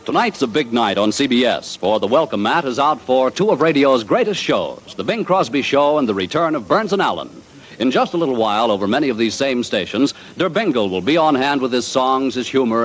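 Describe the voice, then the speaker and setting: A man's voice: medium pitch, very animated, a little fast, low-quality recording. A man announcing in 1940s radio style, delivering a promotional read. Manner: smooth, promotional. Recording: old radio broadcast with music.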